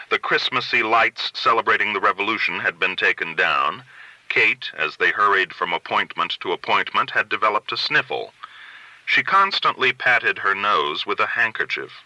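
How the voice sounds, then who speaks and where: Very bright-voiced male audiobook narrator. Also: fairly low pitch, no echo, low-quality recording. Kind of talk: literary fiction reading, scripted narration.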